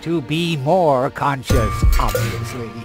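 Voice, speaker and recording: An actor playing a pompous, grumpy small-town doctor in a game, officious, sarcastic and theatrical. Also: male voice, mid-range voice, lively intonation, takes it slowly, over music. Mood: irritated, sarcastic. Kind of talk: animated game dialogue.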